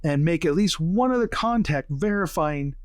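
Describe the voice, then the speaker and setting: Man playing a prim, lightly high planner. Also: expressive, normal speed, no room sound, clean studio sound, close to an everyday voice. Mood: methodical. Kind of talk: improvised in-character tabletop roleplay.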